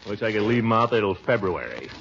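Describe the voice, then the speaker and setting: Fields: a pompous, blustering uncle. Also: male voice, fairly low pitch, very animated, quick pace, gravelly, heavy background noise. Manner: pompous, lecturing, with chuckles. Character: pompous, blustering middle-aged bachelor uncle. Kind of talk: 1940s radio acting. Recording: dull old radio recording with music.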